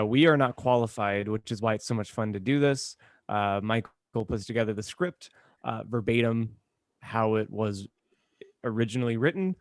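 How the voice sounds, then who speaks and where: A man's voice: medium pitch, dry recording. Male podcast co-host in a dry recording. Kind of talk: casual comedic banter.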